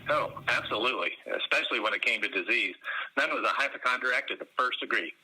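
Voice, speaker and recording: A learned, patronizing historian. The voice is raspy and strikingly bright and forceful. He speaks as an erudite curator interviewed in a radio drama. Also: medium pitch, fast, poor audio. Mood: storytelling. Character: stuffy Southern male historian, learned and condescending. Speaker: museum curator being interviewed.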